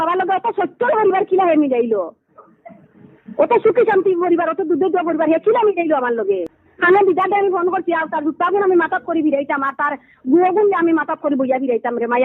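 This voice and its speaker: A middle-aged woman with a Sylheti accent, venting in an aggrieved, repetitive way during a heated family phone conversation. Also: very high voice. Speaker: caller. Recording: tinny, phone-like call recording.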